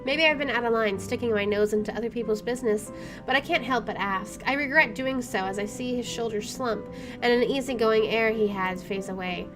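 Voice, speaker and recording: A woman's voice: on the high side, brisk. A woman hosting a let's play, reading story narration aloud and adding casual asides. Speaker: gaming video host. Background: game music.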